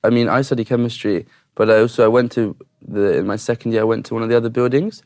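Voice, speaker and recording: A man's voice: medium pitch, steady tone, fast, darkish tone. A young man from England, a student guest, chatting with hesitant restarts and rambling asides. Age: young adult. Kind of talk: casual chat.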